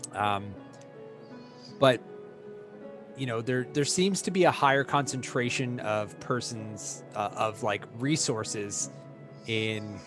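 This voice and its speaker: A hoarse man narrating as game master of a tabletop game, halting with many fillers and restarts. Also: medium pitch.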